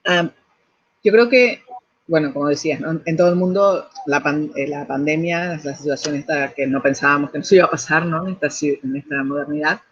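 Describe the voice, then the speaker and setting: Husky Argentine woman on a muffled call. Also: low voice, fairly bright tone. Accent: Argentine Spanish. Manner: thoughtful, many restarts and tag questions. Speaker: architect and urban planner. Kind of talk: interview answers.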